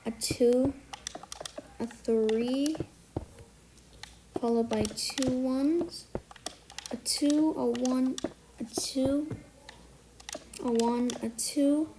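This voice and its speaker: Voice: high-pitched, fairly even intonation, drawn-out words, pauses often, background noise. A tutorial maker who is a bit sick today talks step by step through a building tutorial, counting out blocks and correcting small slips along the way. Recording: music playing under the voice.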